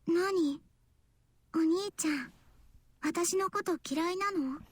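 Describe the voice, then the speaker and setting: A woman's voice: very high voice, measured pace, dry recording. A woman voicing a sweet, clingy little girl with a childlike, confident manner in an anime visual novel. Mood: wounded, coaxing. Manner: childlike, playful, innocent-sounding. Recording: game audio under loud music. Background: loud visual novel music.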